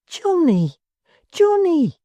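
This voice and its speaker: A woman's voice: sits high, big pitch swings, unhurried, mellow, no room sound, pitched above the performer's own voice. A woman voicing a little, weepy, tender-hearted male church clerk who whimpers and pleads. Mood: blubbering.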